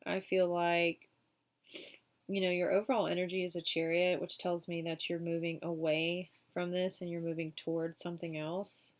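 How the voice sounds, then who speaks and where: A woman's voice: lowish voice, level tone, normal speed. A woman with a cold doing a casual weekly tarot reading, chatty and full of fillers. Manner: chatty, many fillers like um and you know. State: sick, sniffling. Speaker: tarot reader.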